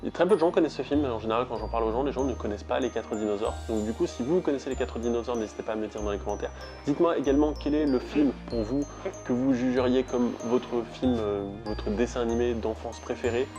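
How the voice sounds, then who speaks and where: A man's voice: medium pitch, fast, muffled recording, music in the background. Chatty young French man vlogging. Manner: chatty, rambling with frequent "euh". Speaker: YouTube host. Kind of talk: casual vlog talk to viewers.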